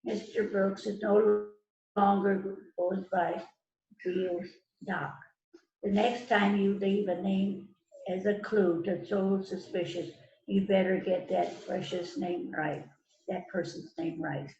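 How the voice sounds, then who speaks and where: A woman's voice: mid-range voice, fairly even intonation, dark tone. A woman playing a stern police detective in an amateur mystery reading, stumbling and repeating words as she reads. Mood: accusing. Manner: halting read-aloud delivery with stumbles and repeats. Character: stern female police detective questioning suspects. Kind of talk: amateur readers theater mystery. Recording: echoey, muffled, noisy online call.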